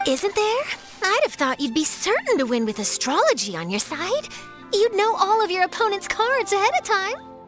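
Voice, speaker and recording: A woman voicing a perky, confident young female journalist with an eager, glib manner in an animated game. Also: very high voice, moderate pace, over music. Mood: curious, eager.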